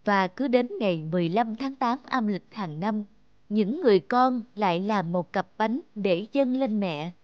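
A storyteller giving scripted narration for a fairy tale in a measured, slow way. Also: mid-range voice, animated, dry recording, muffled recording.

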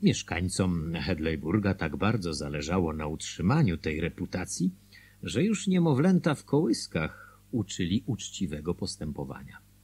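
An actor playing a former small-town mayor who recounts the town's history in a radio drama, husky and expressive. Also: male voice, low voice, moderate pace, full character voice. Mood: wry, expressive.

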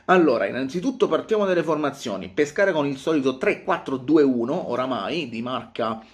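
A man in his thirties talking casually to camera as a football fan vlogger, with an animated, theatrical delivery that repeats phrases for emphasis. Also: medium pitch, fairly quick. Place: small home room, indoors.

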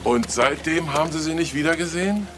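An actor playing a dry, laconic Hamburg police detective in a crime drama, questioning people with suspicion. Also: male voice, a little high, brisk, background noise, close to an everyday voice. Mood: politely probing.

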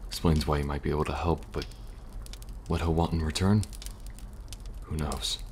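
A man playing a weary, protective young survivor agent in an ASMR audio roleplay, speaking softly and reassuringly, a little hesitant. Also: a bass voice, fairly even intonation, moderate pace. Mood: wary, low-key. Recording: faint background noise and ambience.

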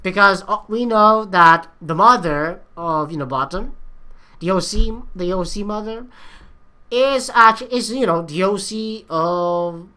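A woman hosts a reaction video in a bright, forceful voice. Her commentary is casual and rambling, with repeated phrases and the odd swear word. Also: mid-range voice, a little slow.

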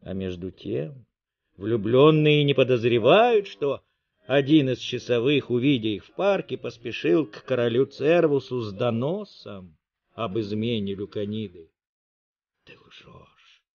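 A man's voice: mid-range voice, animated, unhurried, lo-fi sound. A man narrating a story in a dramatic, theatrical, declamatory way.

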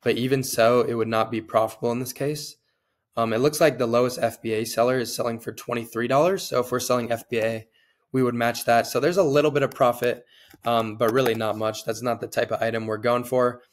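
A young man, slightly hoarse from a cold, talks at a middle pitch into a desk webcam mic in a small room. His delivery is casual and upbeat, with easy fillers as he walks through a screen-share tutorial. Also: steady tone, fairly quick. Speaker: online seller and tutorial host.

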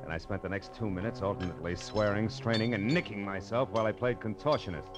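An actor playing a tough, wisecracking American private detective in 1940s radio drama style, clipped and sardonic. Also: male voice, on the low side, fast talker, natural voice. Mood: wry narration. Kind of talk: 1940s radio drama acting, hardboiled narration. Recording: muffled old radio recording with music.

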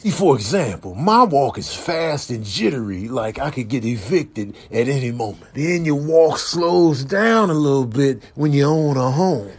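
A man's voice: medium pitch, a little mellow, slightly rough. A man giving comic voiceover commentary in a slangy, mock-serious manner. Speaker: comedian doing voiceovers.

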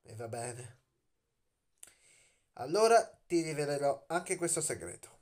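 A man's voice: fairly high pitch, sing-song, darkish tone. A young man hosting a video in a playful, dramatic storytelling manner, as if explaining to children, recorded on a phone in a bedroom. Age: young adult. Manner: playful, dramatic storyteller with drawn-out words and questions. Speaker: video channel host. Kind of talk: theatrical children's explainer to camera. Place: small bedroom, lying on a bed indoors. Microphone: phone at arm's length.

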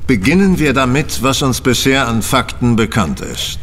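An actor playing a confident, analytical gentleman detective with precise, formal phrasing in a German audio drama. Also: medium pitch, lively intonation, normal speed, slight background noise, close to an everyday voice. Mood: analytical confidence. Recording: long hall reverb.

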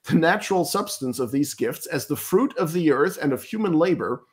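A man's voice: fairly high pitch, expressive. German-native guy on a video call from his study, earnest and emphatic, lots of restarts and uhs. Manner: earnest, emphatic, halting with many restarts and fillers. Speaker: guest commentator. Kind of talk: critical theological discussion on a video call. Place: home study with bookshelves.

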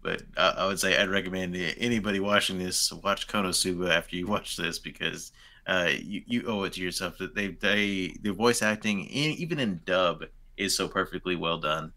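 A man in his thirties talks casually as a podcast guest. His voice sits a little low but has a very bright, forceful edge to it. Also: moderate pace. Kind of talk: casual chat about anime. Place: room lined with shelves, indoors, on a video call.

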